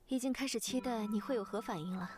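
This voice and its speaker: An actress voicing a devoted, soft-spoken older sister with wistful tenderness in a visual novel. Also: female voice, on the high side, background noise, lightly acted. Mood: fond, gentle. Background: game music.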